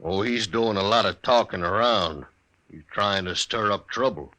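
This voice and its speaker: A man's voice: lowish voice, bright, forceful tone. An actor playing a big, plain-spoken American mountain man, a protective husband, in a western radio drama. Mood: guarded, weary. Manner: plain-spoken, folksy, deliberate.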